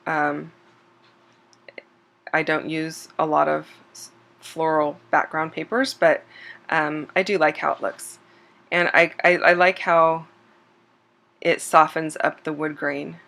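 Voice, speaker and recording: A slightly hoarse woman hosting a scrapbooking channel, chatting casually over a craft process video with plenty of ums and self-corrections. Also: low-pitched, slow, pauses often. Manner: relaxed and chatty, with frequent um fillers and self-corrections. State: slightly hoarse, losing her voice.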